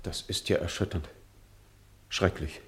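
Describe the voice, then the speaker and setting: Deep male distraught bereaved friend, radio crime drama; halting, earnest, theatrical delivery. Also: slight background noise. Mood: shaken, dramatic. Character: shaken, grieving male friend of a dead man. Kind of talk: German radio crime play acting.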